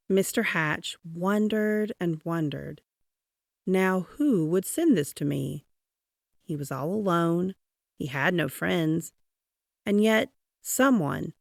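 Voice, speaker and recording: Low-pitched woman reading a children's storybook. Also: creaky, dry recording, studio quality. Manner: dramatic, drawn-out emphasis on key words. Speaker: read-aloud storyteller. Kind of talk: expressive children's storybook narration.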